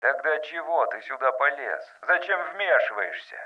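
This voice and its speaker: A man's voice: a little high, animated, moderate pace, far from the mic, voice pushed up. A muffled communicator voice of a bitter, proud outcast man, played by a male audiobook narrator. He speaks curtly and sullenly, with resentment. The radio-like filter dulls the sound. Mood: indignant.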